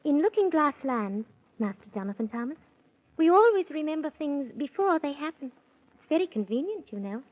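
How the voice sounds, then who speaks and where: A woman's voice: high-pitched, big pitch swings, normal speed. A woman playing a gracious, whimsical young queen, grand and matter-of-fact, in a 1930s children's radio serial. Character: gracious, regal, whimsical young queen of Looking Glass Land. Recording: muffled 1930s radio recording.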